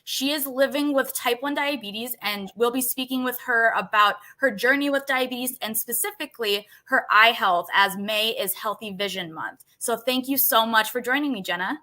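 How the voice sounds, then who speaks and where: A woman's voice: on the high side, moderate pace, hardly any pauses. A young woman hosting an interview, friendly and chatty, with many "like"s and encouraging replies. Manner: friendly, uses "like" often, warm affirmations. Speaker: interview host. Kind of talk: casual interview chat.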